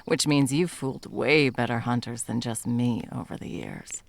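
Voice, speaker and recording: A woman's voice: very deep voice, expressive, normal speed, gravelly, full character voice. A woman playing a bitter, sarcastic monster hunter and betrayed lover in a dramatic ASMR roleplay, mocking and foul-mouthed. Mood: smug, cold.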